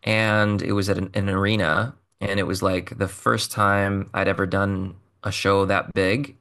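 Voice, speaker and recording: A man's voice: low voice, flat delivery, unhurried, creaky, fairly bright tone. A man, a singer being interviewed, telling a story in a chatty, self-deprecating way with loose fillers. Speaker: singer and musician guest.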